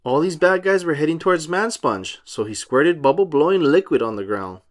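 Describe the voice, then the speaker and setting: A man reading a storybook aloud for children in an animated style. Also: a little high, moderate pace.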